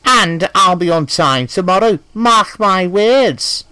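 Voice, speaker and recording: A man's voice: high-pitched, expressive, takes it slowly, pushed and bright, clean tone, no echo, voice pushed up, full character voice. A man voicing a proud, stubborn male steam engine who talks defiantly. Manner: defiant, straining.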